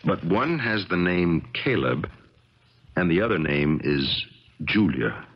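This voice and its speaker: A man's voice: sits low, big pitch swings, takes it slowly, full character voice. An actor playing a resolute, suspicious, protective man with a blunt, grave manner in a radio drama. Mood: grim, firm. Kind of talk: 1970s radio drama acting. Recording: dull crackly 1970s radio recording.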